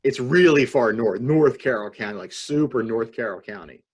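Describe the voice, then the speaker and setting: Husky, animated American man, teasing. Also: mid-range voice, moderate pace. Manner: wry, teasing. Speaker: podcast co-host. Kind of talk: casual chat. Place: video call from lockdown. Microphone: video call microphone.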